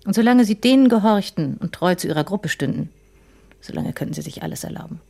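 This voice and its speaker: An actress playing an opinionated, scornful wife of a police inspector in a radio drama, in a dark, mellow voice. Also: female voice, medium pitch, animated, quick pace, creaky. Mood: indignant. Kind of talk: naturalistic radio drama acting.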